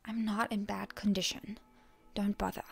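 Woman playing a breathy, whispering, defiant hero girl. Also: mid-range voice, little pitch movement, normal speed, soft background music. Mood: prickly, stubborn. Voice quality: breathy, hushed, mostly whispered. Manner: defiant, suspicious, tsundere. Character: proud, guarded, prickly wounded hero girl held by her enemy. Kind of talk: whispered ASMR roleplay.

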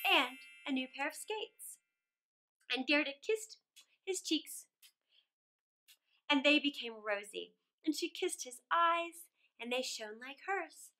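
A middle-aged woman reading a fairy tale aloud to children, expressively and theatrically, with deliberate phrasing. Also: high voice, a bit bright, a little hiss or hum, low-quality recording. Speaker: storyteller. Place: small indoor room.

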